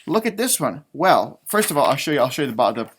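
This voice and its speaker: A man's voice: mid-range voice, very animated, slight background noise. A man talking casually and enthusiastically to children during a read-aloud, chatty, stretching words for emphasis like an elementary school teacher. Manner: enthusiastic, chatty, drawn-out emphasis, talking directly to young listeners.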